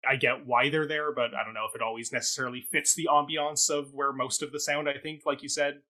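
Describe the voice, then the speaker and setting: Male talk co-host, rapid and bright, conversational, careful to hedge his opinions. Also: mid-range voice, level tone. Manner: tentative, qualifies his points, checks in with partner. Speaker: co-host of theatre review talk show. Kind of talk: casual review chat.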